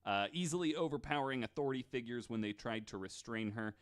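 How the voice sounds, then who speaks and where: Relaxed male podcast host telling a story. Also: mid-range voice, fast. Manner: relaxed, reads story notes with many fillers and restarts. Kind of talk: casual storytelling podcast chat.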